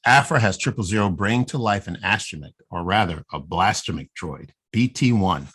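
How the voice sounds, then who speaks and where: A man co-hosting a casual podcast, reading a story recap in a steady, plain way with small asides. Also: sits low, moderate pace, breathy edge. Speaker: podcast co-host.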